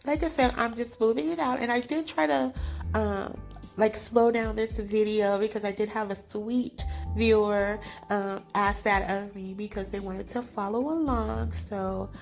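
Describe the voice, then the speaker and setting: A woman's voice: mid-range voice, fairly even intonation, unhurried, creaky, soft background music. A woman hosting a crafting channel, talking casually over her tutorial, sounding nasal and congested from allergies, chatty and apologetic. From California. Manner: chatty, apologetic, laughs often.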